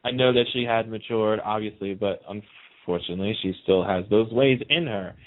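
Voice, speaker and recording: A man's voice: fairly low pitch, moderate pace. A man chatting casually and emphatically, giving his opinions as a participant on a radio show. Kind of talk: casual chat about TV soap storylines. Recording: muffled narrow phone-like line.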